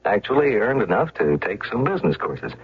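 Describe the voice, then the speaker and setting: A man's voice: on the low side, very animated, a little fast, husky. A man with an American accent delivering a folksy first-person monologue in a radio advertisement. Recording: dull old radio broadcast.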